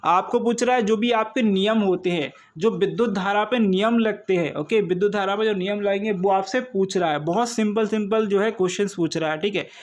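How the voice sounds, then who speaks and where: High-pitched man teaching. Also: fairly quick, hardly any pauses. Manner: repetitive explaining, checking with short tags and rhetorical questions. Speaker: exam coaching teacher. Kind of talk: informal exam-prep lesson.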